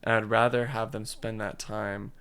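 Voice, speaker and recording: A man's voice: on the low side, little pitch movement, normal speed, gravelly, fairly bright tone, clear, resonant tone. A young man co-hosting a casual gaming podcast, laid-back and often answering in short replies. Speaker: podcast co-host.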